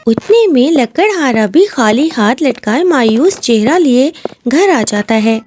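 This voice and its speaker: A woman's voice: high-pitched, animated, measured pace, a little mellow. A woman reading scripted storybook narration as the narrator, with music behind her.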